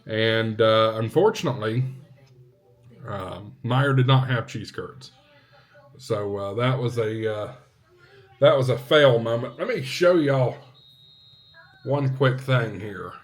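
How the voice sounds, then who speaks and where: A man talking casually to viewers as a vlog host, in an unhurried, halting way with short phrases. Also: mid-range voice. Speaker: vlog host, ham radio hobbyist.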